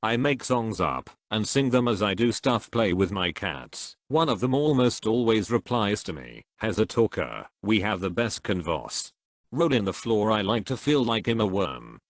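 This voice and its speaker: Man reading posts aloud, low voice, dry sound. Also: sing-song, normal speed, dry recording. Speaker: narrator reading comments aloud.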